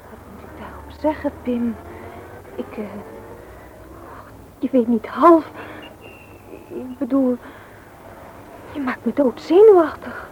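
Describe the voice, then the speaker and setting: Anxious, high-voiced young woman. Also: slow pace, pauses often, dull audio, slight background noise. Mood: nervous, indignant. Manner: agitated, faltering, protesting. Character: anxious, indignant young girlfriend. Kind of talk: radio play dialogue.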